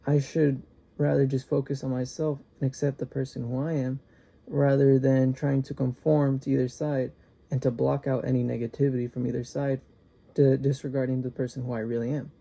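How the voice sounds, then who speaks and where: A young man giving an earnest class speech, halting at times and restarting his sentences. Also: mid-range voice, level tone, vocal fry, mellow. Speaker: student.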